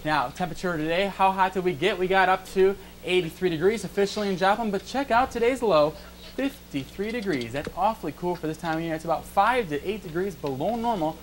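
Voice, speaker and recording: A man's voice: high voice, brisk. A man presenting a chatty live TV weather forecast. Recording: background noise from control room. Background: director's cues heard in control room.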